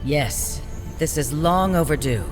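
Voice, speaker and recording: A resolute, blunt warrior hero with a lively, slightly high male voice. His dramatic game dialogue rings with reverb over music. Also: slow. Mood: defiant. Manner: earnest, resolute. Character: determined heroic male warrior, defiant and blunt. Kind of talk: dramatic video game acting.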